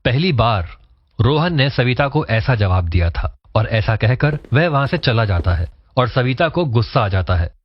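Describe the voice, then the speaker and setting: A man's voice: mid-range voice, sing-song, normal speed, husky, no room sound. A man reading scripted narration for a cartoon story, in a dull lo-fi recording. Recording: dull lo-fi, dry sound.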